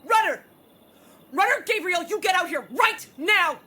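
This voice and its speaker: A woman playing a rural doctor and protective mother in a post-apocalyptic audio drama, with a husky voice. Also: very high voice, lively intonation, unhurried, sharp tone, a little hiss or hum, exaggerated voice. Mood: furious, alarmed.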